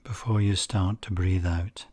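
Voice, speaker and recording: A man guiding a meditation, speaking softly and calmly, some phrases nearly whispered. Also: low-pitched. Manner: soft-spoken, calm and unhurried, with breathy near-whispered phrases. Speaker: meditation guide. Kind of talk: slow guided meditation.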